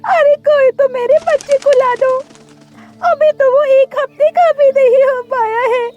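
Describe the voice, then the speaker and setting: A woman's voice: fairly even intonation, unhurried, darkish tone, lo-fi sound, soft background music. A woman voicing a doting, easily distraught mother bird in a cartoon story, plaintive and dramatic. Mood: distraught, wailing. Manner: high-pitched, plaintive, dramatic. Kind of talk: Hindi cartoon story dialogue.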